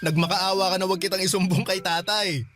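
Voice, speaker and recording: A man's voice: sits high, steady tone, fairly quick, fairly bright tone, faint music behind. A man playing a teasing but earnest older brother in a Filipino radio drama, talking in a coaxing way. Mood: teasing, amused.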